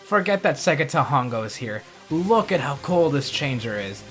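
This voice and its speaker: A man's voice: mid-range voice, big pitch swings, moderate pace, a bit bright, faint music behind. A man giving scripted countdown commentary as a host, with wry, jokey asides. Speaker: host of a countdown review video.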